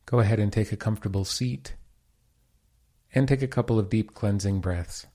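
A man leading a guided meditation as the teacher, giving calm, measured instructions. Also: fairly low pitch.

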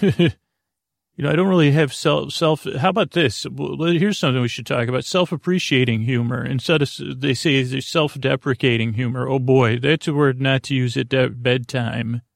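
A man hosting a sleep podcast, talking slowly and meandering with lots of filler words, mumbles and a creaky, soothing tone. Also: medium pitch, fairly even intonation, no echo, studio quality. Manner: slow, meandering, soothing and self-deprecating. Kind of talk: rambling bedtime podcast talk.

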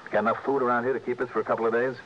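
An actor playing a tough, blunt, world-weary insurance investigator in a 1960s radio drama, clipped and commanding. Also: male voice, medium pitch, level tone, rapid-fire, natural voice. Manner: hard-boiled, commanding, clipped. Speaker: lead radio detective. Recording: dull, noisy vintage radio recording.

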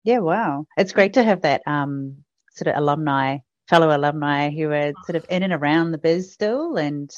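Low-voiced woman hosting. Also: moderate pace, no room sound. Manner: supportive, frequent backchannel replies. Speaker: podcast host. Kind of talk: casual interview. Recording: dry, dull lo-fi sound.

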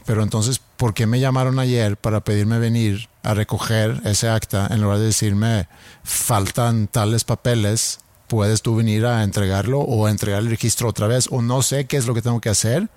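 A man whose first language is Swedish, telling a story in a relaxed podcast chat. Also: on the low side, normal speed, no echo.

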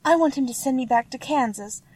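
A woman voicing a kind, timid little farm girl in a storybook, with a gentle and earnest manner. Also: sits high, a little fast, pitched above the performer's own voice. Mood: friendly, earnest.

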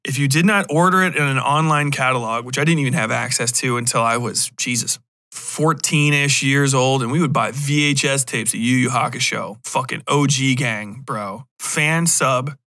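A man's voice: medium pitch, normal speed, pushed and bright. An American man in his thirties rambling casually on a podcast, slangy, profane and opinionated. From Cincinnati, USA. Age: 30s. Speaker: podcast host.

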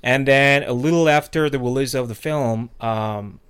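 A man's voice: mid-range voice, normal speed, fairly bright tone, clear, resonant tone. A man from South Korea, co-hosting a podcast in loose, rambling casual chat full of fillers. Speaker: podcast co-host.

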